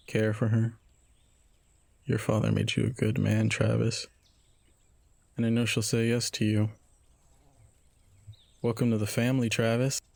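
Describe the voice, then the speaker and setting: An actor playing a sincere, gentle older father with a gravelly voice in an audio drama. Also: on the low side, no room sound.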